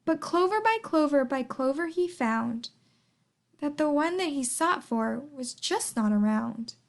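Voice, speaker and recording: A woman reading a rhyming children's story aloud in a theatrical, deliberate, expressive manner. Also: high voice. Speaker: storybook reader.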